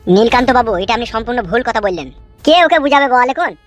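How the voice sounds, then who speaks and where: Pompous high-voiced village politician played by a woman. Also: animated, fast, faint music behind, close to an everyday voice. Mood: lecturing. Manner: pompous, lecturing, dismissive. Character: self-important, evasive village politician, male. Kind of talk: comic village cartoon dialogue.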